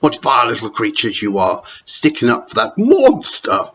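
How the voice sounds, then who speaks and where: A man's voice: medium pitch, wildly swooping pitch, moderate pace, fairly bright tone, breathy edge, heavily acted. A man voicing a cruel, scornful female hospital matron with a sneering manner. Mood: harsh. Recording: dull lo-fi recording.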